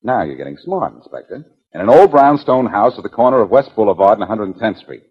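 Wisecracking 1940s radio sleuth: husky, glib, lively mid-pitched delivery, dull lo-fi recording. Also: male voice, fast. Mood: sardonic, insistent. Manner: sardonic, teasing, glib. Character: cocky, wisecracking male amateur detective, taunting police. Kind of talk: 1940s radio detective drama acting.

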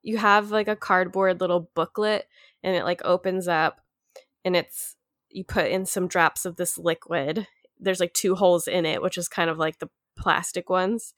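A young woman from the United States with a congested, nasal voice, short of breath, talking slowly and quietly in a casual chat. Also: on the low side, steady tone, no echo, clean studio sound. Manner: talks slower and quieter, winded. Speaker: sick friend.

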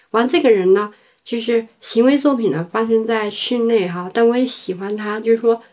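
A woman's voice: a little high, darkish tone. A woman from China, a performance artist, giving spontaneous interview answers, mixing in English words and rephrasing as she goes.

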